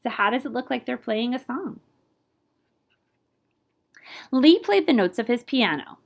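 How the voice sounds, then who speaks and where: A woman reading a picture book aloud to children as a librarian, expressive and playful, with asides to her listeners. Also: fairly high pitch, fairly quick, gravelly. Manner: animated, playful with scat-like sound words.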